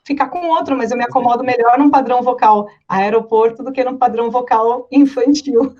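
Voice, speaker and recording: A Brazilian woman speaks in a fairly high voice, explaining things casually as a guest on a talk show. She is a professional voice artist, and the audio is slightly dull and muffled. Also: fairly even intonation, fairly quick, dark tone. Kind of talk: casual chat, explaining from experience.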